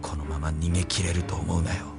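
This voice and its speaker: An actor playing a cold, confident, scornful military villain in a Japanese sci-fi audio drama. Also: male voice, extremely low pitch, little pitch movement, brisk, gravelly. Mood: scornful, menacing. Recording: music behind the voice.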